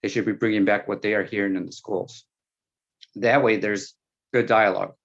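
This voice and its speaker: A man whose first language is Spanish, a school board candidate speaking frankly in a panel Q&A with halting fillers. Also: on the low side, fairly even intonation. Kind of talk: panel Q&A answer. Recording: dull lo-fi sound.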